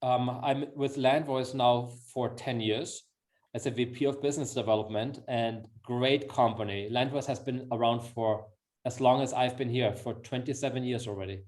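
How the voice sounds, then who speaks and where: A man's voice: medium pitch, fairly even intonation, normal speed, darkish tone. A middle-aged man from Austria with an Austrian accent, a native German speaker, hosting an upbeat, chatty webinar over a video call in an effusive, encouraging manner. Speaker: webinar host, sales executive.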